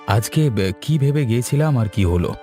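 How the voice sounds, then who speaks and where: An actor playing a calm, level-headed village husband in a cartoon, reassuring and measured. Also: male voice, medium pitch, faint music behind, close to an everyday voice. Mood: disappointed.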